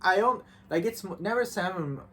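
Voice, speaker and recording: Higher-voiced man in casual, halting discussion. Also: lively intonation, bright, forceful tone. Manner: halting, repetitive phrasing with false starts. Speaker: visual novel reviewer. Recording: clearly noisy background.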